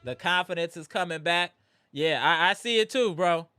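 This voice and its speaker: Bright-voiced man hosting a sports stream. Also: high-pitched, normal speed, slight background noise. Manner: animated, shouting out chat viewers. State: voice strained from yelling. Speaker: livestream host. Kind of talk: live postgame sports reaction.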